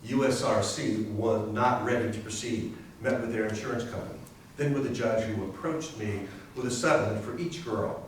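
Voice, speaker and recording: A man's voice: mid-range voice, normal speed, lightly acted. A man playing a lawyer who recounts a court case in a staged readers theater narration. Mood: earnest, measured. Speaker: community theatre performer. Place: library readers theater performance, indoors. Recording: very echoey hall, distant, faint hiss.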